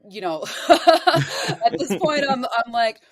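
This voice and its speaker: A woman's voice: sits high, expressive, takes it slowly. A woman talking as a podcast guest, explaining things in a casual, digressive, conversational way. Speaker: podcast guest, occult practitioner.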